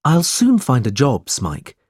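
A man's voice: mid-range voice, wildly swooping pitch, slow pace, breathy edge, no room sound, studio quality, voice pushed up, exaggerated voice. Man playing an indignant, brave young hero. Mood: protective, earnest. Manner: earnest, forceful, defiant.

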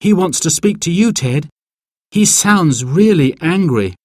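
A man voicing a worried mother in a children's story, with an anxious, gentle manner. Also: a little high, expressive, unhurried, no room sound, clean studio sound, pitched above the performer's own voice.

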